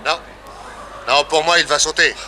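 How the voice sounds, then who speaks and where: A man's voice: on the high side, steady tone, normal speed, natural voice. A man playing a shrewd, authoritative detective with clipped, assured delivery in a French radio drama. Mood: urgent.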